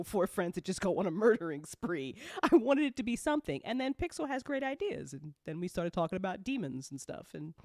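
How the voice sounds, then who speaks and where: A woman co-hosting and talking as an audio drama writer in an animated, playful group chat, swearing freely and laughing often. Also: mid-range voice, no echo, studio quality. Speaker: audio drama writer and after-show co-host.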